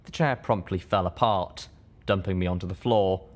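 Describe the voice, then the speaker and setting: A man reading a first-person story as an audiobook narrator, with expressive, dramatic delivery. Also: low-pitched, normal speed.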